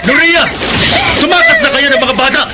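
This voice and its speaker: Urgent high-pitched rebel father. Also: normal speed, over loud music, rough recording. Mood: urgent, commanding. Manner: shouting urgently. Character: brave, urgent rebel fighter, husband and father. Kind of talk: melodramatic radio drama acting. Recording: loud battle noise over voice. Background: loud noise, vehicle rumble.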